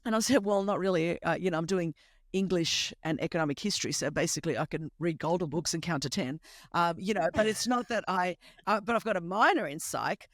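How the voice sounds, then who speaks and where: Animated Australian woman telling her story. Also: on the low side, a little fast, dry recording, clean studio sound. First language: Greek. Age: 50s. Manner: animated, emphatic, humorous storyteller. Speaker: podcast host. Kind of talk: conversational testimony storytelling.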